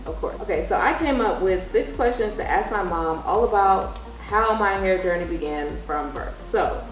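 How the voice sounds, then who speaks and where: A woman's voice: medium pitch, moderate pace. A young woman telling stories in a casual, chatty vlog style, acting out dialogue as she goes. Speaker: vlog host interviewing mother. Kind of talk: casual storytelling chat. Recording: roomy echo with background noise.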